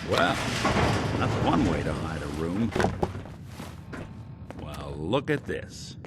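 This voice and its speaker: An actor playing a wry, loyal, hard-boiled detective companion in a video game, colloquial and reassuring. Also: male voice, rumbling low, big pitch swings, slow, full character voice. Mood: wry, curious. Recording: noisy game audio with long reverb.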